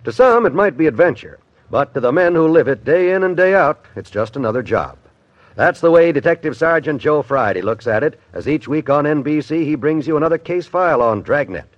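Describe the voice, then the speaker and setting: A man's voice: a little high, expressive, a little fast, no echo. A man with an American accent, a radio announcer reading network promos in 1950s style. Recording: dull, dry old radio recording.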